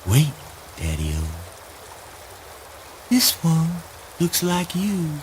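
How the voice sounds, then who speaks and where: A man's voice: on the high side, huge pitch swings, very slow, a little hiss or hum, full character voice. A man playing an eerie, morbid son in a poetic audio play, with a theatrical, singsong delivery. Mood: uneasy wonder. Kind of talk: poetic spoken word drama acting.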